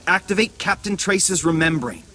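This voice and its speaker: Higher-voiced male rebel leader, theatrical. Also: expressive, normal speed, a crowd in the background. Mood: scornful, intense. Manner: theatrical, directive. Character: urgent, conspiratorial male resistance leader guiding an agent. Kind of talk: dramatic video game cutscene acting. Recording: music behind the voice.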